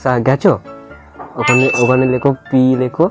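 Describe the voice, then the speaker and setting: A man's voice: medium pitch, fairly even intonation, normal speed. A man with a West Bengal accent making a prank call in casual talk, pleading and insistent, often repeating himself. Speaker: prank caller. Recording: loud music under the call. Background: music.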